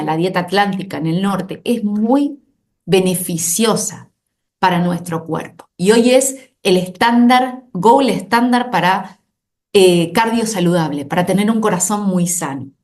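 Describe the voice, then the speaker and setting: A woman's voice: mid-range voice, a little mellow. Argentine woman doctor explaining earnestly. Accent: Argentine Spanish. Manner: explanatory, earnest, with frequent restarts and repeated words. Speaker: obesity specialist doctor, guest. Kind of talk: conversational podcast interview.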